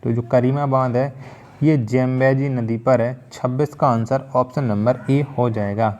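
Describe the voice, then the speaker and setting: A man's voice: mid-range voice, dark tone. Methodical male exam-prep teacher. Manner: methodical, repeats each question before answering. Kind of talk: quiz-style lesson, reading questions then answers.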